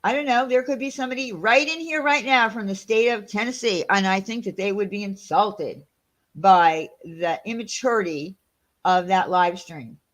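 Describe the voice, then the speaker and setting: A woman's voice: medium pitch, moderate pace. A woman with a Long Island New York accent, hosting a casual livestream and reading out chat, talking in an indignant, rambling way with many fillers.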